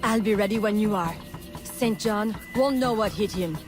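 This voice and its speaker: A woman's voice: mid-range voice, moderate pace, over music, lightly acted. Woman acting a brash, wry female smuggler. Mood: wry, frank. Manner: frank, confident, wry. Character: brash, loyal female smuggler, plain-spoken. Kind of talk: dramatic video game acting.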